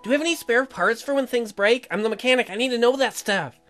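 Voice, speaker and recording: A man's voice: very high-pitched, fast, fairly bright tone, soft background music. A man voicing an earnest, inquisitive young woman, a newly married colony mechanic in a video game. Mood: eager, curious.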